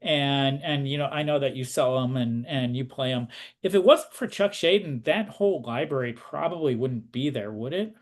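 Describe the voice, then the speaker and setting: A man's voice: medium pitch, moderate pace. A man asking questions in a casual interview, rambling and rephrasing them eagerly as he goes.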